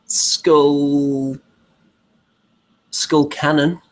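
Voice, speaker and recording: A man's voice: fairly high pitch, flat delivery, drawn-out words, poor audio. A man co-hosting a hobby show in casual conversational chat.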